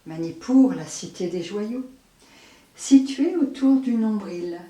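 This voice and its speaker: Woman yoga teacher doing a guided relaxation, dark relaxed voice, echoey room, mic kinda far away. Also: mid-range voice. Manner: measured, short phrases with long pauses. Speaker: yoga nidra instructor. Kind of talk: slow guided meditation. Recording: roomy echo, distant microphone.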